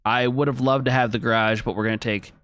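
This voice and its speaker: A man's voice: medium pitch, steady tone, fast, fairly bright tone, clean tone, no echo, studio quality. A man streaming a video game, thinking aloud, chatting with his viewers and reading on-screen text as he plays. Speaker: gaming streamer. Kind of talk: casual let's-play commentary.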